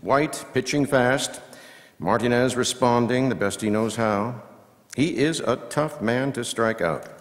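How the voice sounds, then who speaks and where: A man performing as a folksy baseball radio commentator, calling play-by-play in measured, punchy phrases with dramatic pauses. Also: mid-range voice, close to an everyday voice. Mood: keen, focused. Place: live reading in a large reverberant hall. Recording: echoey hall with long reverb.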